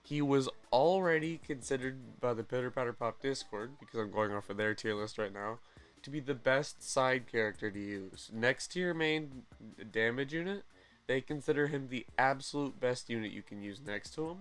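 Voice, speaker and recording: A young man hosting a gaming channel, chatting casually and excitedly through game news, often correcting himself mid-sentence. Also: medium pitch, moderate pace. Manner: rambling, self-correcting, excitable.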